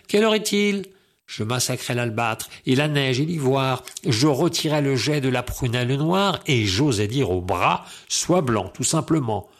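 A man performs a dramatic recitation of classical verse. His voice sits in a middle register. He declaims emphatically, shaping each phrase with deliberate care. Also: mid-range voice. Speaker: poetry reciter.

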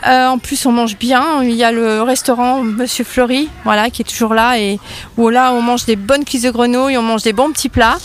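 A woman's voice: a little high, fairly even intonation, normal speed, slight background noise. A woman in her fifties, interviewed on local radio, talking eagerly and rambling with many fillers. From France. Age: 50s. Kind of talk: casual interview.

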